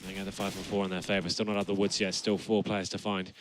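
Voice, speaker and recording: A young male caster calls the action in a low, rapid voice, a little raspy as he gets over a flu. He speaks into a headset mic on a studio set and climbs into excited shouts when the plays land. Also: noisy background. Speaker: esports caster. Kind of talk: play-by-play commentary. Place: studio set with gaming stations.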